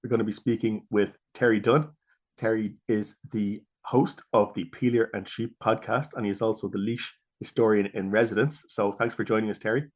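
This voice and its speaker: A man's voice: on the low side, fairly even intonation, normal speed. An Irish man hosting a history podcast, interviewing a guest in a conversational style.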